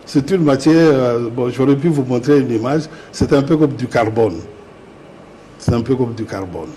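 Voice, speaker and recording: A man's voice: medium pitch, moderate pace, warm tone, slight background noise. A man, a metallurgist guest explaining emphatically in an interview, often repeating words as he searches. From Democratic Republic of the Congo. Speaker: guest, metallurgist and industrial chemist. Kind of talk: interview discussion.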